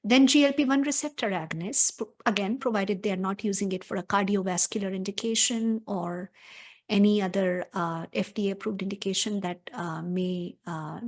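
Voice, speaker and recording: Woman doctor explaining medication steps. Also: mid-range voice. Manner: brisk, technical, with many filler sounds. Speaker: physician presenter. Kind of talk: webinar presentation.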